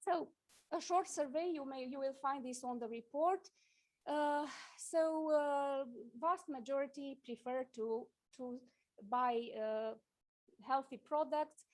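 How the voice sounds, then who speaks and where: High-voiced woman presenting at a conference. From Romania. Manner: halting, many fillers and restarts, asks for next slide. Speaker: conference speaker. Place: conference hall.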